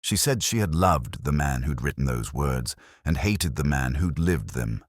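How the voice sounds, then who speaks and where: An extremely deep voice from a male actor tells a detective story as a brooding inspector. The delivery is solemn and measured, yet the pitch moves expressively through each reflective line. The sound is dry and close, like an audio drama narration. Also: animated, dry recording, heavily acted. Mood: solemn, hushed. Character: brooding male English police inspector narrating his investigation. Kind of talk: literary first-person scripted narration.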